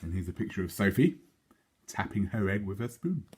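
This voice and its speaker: Animated, slightly husky male storyteller. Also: on the low side, fairly quick. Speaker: children's story reader. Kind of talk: expressive storybook narration.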